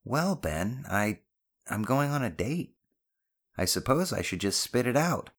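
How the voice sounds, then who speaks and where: A caring audiobook mother played by a male narrator, lifted well above his natural pitch. She is gently stern and a little self-conscious, and she chides with plain affection. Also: big pitch swings, a little slow. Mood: bashful, hesitant. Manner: chiding but affectionate. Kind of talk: audiobook character dialogue.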